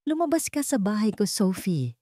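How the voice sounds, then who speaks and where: A woman's voice: mid-range voice, very animated, moderate pace, dark tone, no echo, studio quality, voice pushed up, natural voice. A woman voicing a protective, commanding rich businessman with firm authority in a story drama. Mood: stern anger.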